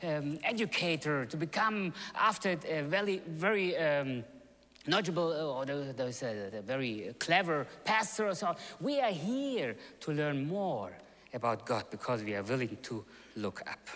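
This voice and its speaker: A man, born in Russia and raised in Germany, preaching a chapel sermon in animated storytelling that jumps from loud to soft and pauses to search for words. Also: a little high. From born in Russia, grew up in Germany. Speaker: seminary chapel speaker. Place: large chapel hall. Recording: long hall reverb.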